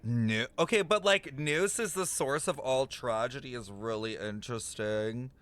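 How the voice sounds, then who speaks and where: A man's voice: medium pitch, unhurried, fairly bright tone. A man streaming a game reaction, talking casually with plenty of swearing and filler words. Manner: casual, profane, lots of filler "like".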